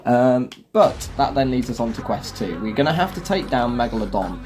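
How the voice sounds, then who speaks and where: A man's voice: medium pitch, sing-song, moderate pace, a little mellow. A man hosting a gaming video with casual gameplay commentary. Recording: voice-over with music and game vehicle sounds. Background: clear music and game engine noise.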